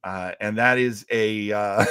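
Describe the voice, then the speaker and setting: A man's voice: lowish voice, pushed and bright, clear, resonant tone, no room sound, clean studio sound. A man hosting a casual livestream chat, talking in a chatty, jokey way. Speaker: show host.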